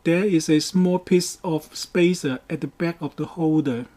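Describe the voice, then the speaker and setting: A man delivers a scripted how-to narration with a slow, careful pace. His voice sits a little high for a man and has a soft, mellow tone. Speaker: DIY boat project presenter.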